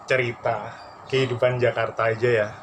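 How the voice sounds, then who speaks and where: A man hosting a casual vlog chat with a friend, playful and teasing, quick to laugh. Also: mid-range voice, level tone. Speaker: vlog host interviewing a friend. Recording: noisy background.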